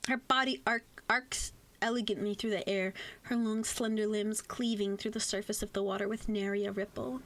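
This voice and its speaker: A young woman reading visual novel narration aloud in a literary, measured way. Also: mid-range voice, fairly even intonation, fairly bright tone. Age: young adult. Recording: music playing behind the voice. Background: music.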